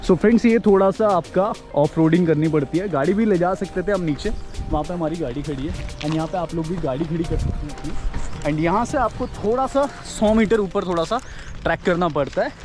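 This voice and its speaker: A man's voice: on the high side, a little fast, over music. A young man giving a casual walk-and-talk travel vlog outdoors at a hillside campsite, chatting and mixing English words into his speech. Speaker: travel vlogger. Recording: faint outdoor noise.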